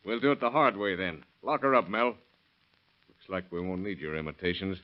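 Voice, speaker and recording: Male actor as American detective, deep-ish voice, brisk and probing, shrewd, muffled vintage radio recording. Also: lightly acted. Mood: stern, pressing. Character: shrewd, businesslike male police detective pressing suspects. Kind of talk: 1930s radio acting.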